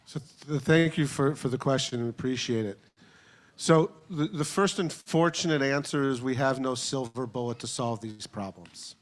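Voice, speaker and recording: A man answering questions on a panel at a public forum, measured and diplomatic in his phrasing. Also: medium pitch. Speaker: advocacy organization representative on a panel.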